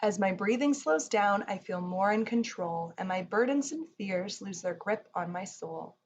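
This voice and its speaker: A woman reading a rhyming children's book aloud in a measured, soothing storybook delivery. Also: medium pitch, lively intonation. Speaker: picture book reader. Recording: muffled, faint noise.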